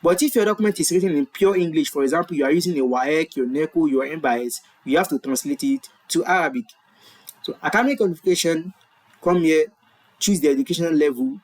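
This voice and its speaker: A man's voice: fairly high pitch, brisk, low-quality recording. Nigerian man explaining a form step by step. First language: Yoruba. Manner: explanatory, repeats and self-corrects. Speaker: tutorial presenter. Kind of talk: screen-share walkthrough. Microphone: webcam in corner of screen recording.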